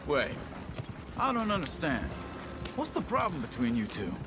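An actor playing a somber, plainspoken outlaw drifter in a western game, reserved and direct. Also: male voice, on the high side, wildly swooping pitch, normal speed, noisy background. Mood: puzzled. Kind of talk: cinematic western game dialogue.

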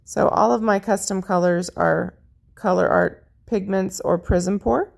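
Woman with a really gravelly raspy voice, chatty craft voice-over, walking through her paints. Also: medium pitch, steady tone, unhurried. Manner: chatty, friendly, lists colors as she works. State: slightly raspy voice. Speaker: paint pouring artist. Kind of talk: casual how-to narration over craft footage.

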